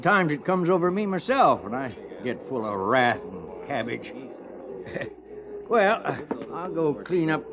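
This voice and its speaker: An actor playing a folksy, good-natured frontier coot with a rural Western American accent and colorful homespun talk, in old radio western style. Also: male voice, a little high, sing-song, slow, full character voice. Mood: genial. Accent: rural American West. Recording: dull old radio recording.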